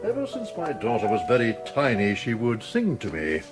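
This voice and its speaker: An animated, formal Victorian father. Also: mid-range voice, moderate pace, over music. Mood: grave. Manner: formal, hesitant. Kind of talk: period audio drama acting.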